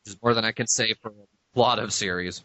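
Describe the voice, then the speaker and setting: A man's voice: lowish voice, steady tone, quick pace, sharp tone. A man co-hosting a fan podcast in casual chat over a voice call. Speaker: podcast co-host. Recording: dull lo-fi internet call.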